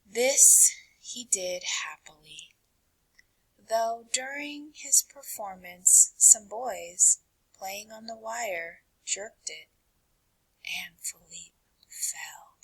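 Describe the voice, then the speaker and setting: Slow, slightly husky woman reading a storybook aloud. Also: mid-range voice, rough recording. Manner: dramatic, deliberate pauses with hushed phrase endings. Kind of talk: expressive children's read-aloud narration.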